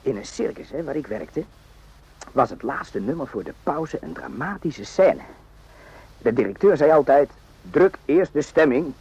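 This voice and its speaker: An actor playing a naive, randy young soldier in a radio drama, rambling and jokey in an eager, confiding way. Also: male voice, on the high side, sing-song, measured pace, a little mellow, husky, exaggerated voice. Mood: earnest, confiding. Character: chatty, naive, randy young army soldier, former circus hand. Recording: muffled lo-fi old radio recording.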